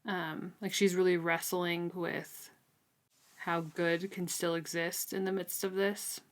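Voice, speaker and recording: Casual woman with a slightly low voice. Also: little pitch movement, takes it slowly. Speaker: podcast co-host. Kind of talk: casual book discussion.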